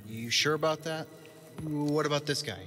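A man's voice: fairly high pitch, moderate pace, fairly bright tone, natural voice. A man playing a skeptical, questioning adventurer in an audio drama, with a wry delivery. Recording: long cavernous reverb, faint music.

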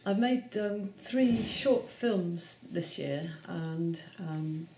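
A woman in her forties, an artist, describing her short films haltingly, with many pauses and fillers. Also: lowish voice, dark tone, slight background noise. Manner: hesitant, many ums. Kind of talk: casual explanation of her films. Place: room with bare block walls. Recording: echoey room.

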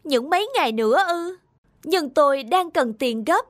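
High, insistent cartoon seller. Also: female voice, animated, moderate pace, no echo, clean studio sound. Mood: pleading. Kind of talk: animated folk-tale cartoon acting. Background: loud instrumental music.

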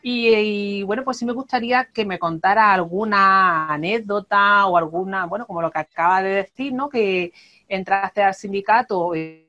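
A woman's voice: mid-range voice, moderate pace, pushed and bright. Woman in her 30s hosting over a video call, earphone mic, mid voice, hesitant restarts, muffled sound. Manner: hesitant, restarts and tag questions while framing questions. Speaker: host. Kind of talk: casual video-call interview. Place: room with plants and a window. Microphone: wired earphone mic on a video call. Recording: dull lo-fi video call audio.

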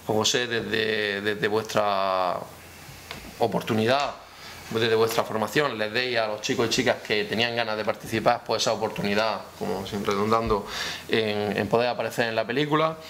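A man, speaking haltingly as a councillor at a press conference. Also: fairly low pitch, steady tone, a bit bright. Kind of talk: semi-formal press conference speech. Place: indoor press room at town hall. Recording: clear background noise, room ambience.

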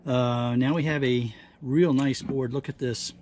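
A man's voice: mid-range voice, steady tone, a little slow, very noisy. A man giving casual hands-on commentary as he works, thinking aloud in a folksy, exclaiming way. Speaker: hobbyist host of scrapping videos. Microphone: action camera or phone near the workbench.

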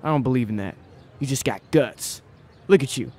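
Guy acting a restless young small town man in an audio play, mid pitch, blunt folksy questions, eager. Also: animated, moderate pace, darkish tone, lightly acted. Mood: searching, eager. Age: young adult. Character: restless, earnest small-town Tennessee youth, about twenty. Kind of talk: audio play acting before a live audience.

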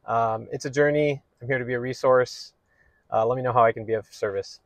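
A man in his thirties, a real estate agent, talking casually to the camera from inside a parked car, friendly and relaxed with plenty of "um"s. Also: medium pitch, a little fast. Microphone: phone or camera held at arm's length.

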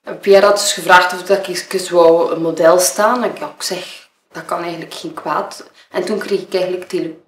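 A woman's voice: on the low side, steady tone, normal speed, natural voice. A woman with a Flemish accent playing a nervous, gossipy model who gives a rambling, hesitant witness statement in an audio murder game. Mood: uneasy, accusing. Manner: hesitant, rambling, self-interrupting. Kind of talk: improvised-sounding monologue for an audio murder game. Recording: roomy, echoing room.

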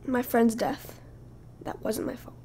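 A woman hosting her own podcast, speaking casually while hoarse from losing her voice, halting and often dropping to a breathy whisper. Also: on the high side, level tone, warm tone, slight background noise. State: hoarse, lost voice. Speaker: podcast host. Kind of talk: casual solo podcast talk.

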